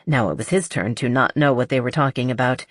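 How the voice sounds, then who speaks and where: Female audiobook narrator for romance fiction, low register, close dry sound. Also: fast, no room sound. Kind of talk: scripted narration of a romance novel.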